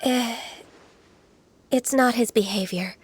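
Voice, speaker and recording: An actress playing a gentle, earnest young adeptus in training in an anime game, speaking politely and a little hesitantly. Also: female voice, on the high side, takes it slowly, husky, no room sound. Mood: hesitant, downcast.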